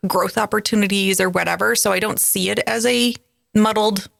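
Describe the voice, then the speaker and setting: A woman's voice: medium pitch, steady tone, normal speed, creaky, a bit bright, no echo, clean studio sound. A woman in her forties co-hosting a podcast, chatting casually and reading tarot card meanings with playful comic asides. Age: 40s. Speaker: podcast co-host.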